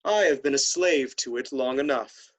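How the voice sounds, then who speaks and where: A man's voice: mid-range voice, moderate pace, lightly acted. An actor playing a stern, honor-obsessed nobleman brother in a classical stage comedy, declaiming in a haughty, indignant way. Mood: resigned.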